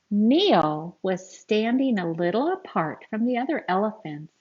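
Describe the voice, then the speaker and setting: A woman reading a picture book aloud to young children in an expressive, teacherly way, speaking to them directly as she goes. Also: on the low side, muffled recording, a little hiss or hum. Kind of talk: read-aloud storytelling with asides to young listeners.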